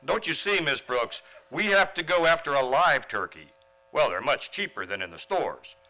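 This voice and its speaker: A man plays an earnest, oblivious teacher in a radio comedy. His voice is bright and forceful, swinging widely in pitch. He comes across as mild-mannered but eager, and thoroughly naive. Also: very animated, moderate pace, full character voice. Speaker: actor in a radio play. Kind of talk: comedic radio play acting.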